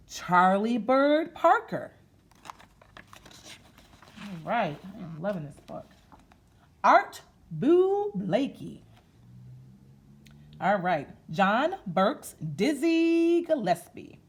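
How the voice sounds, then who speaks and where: A woman with a fairly high, very animated voice hosts a children's read-aloud. She takes her time with a sing-song, rhythmic delivery and talks to her listeners as friends. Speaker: storytime host reading a picture book aloud. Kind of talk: children's read-aloud with rhythmic sound effects and chatty asides.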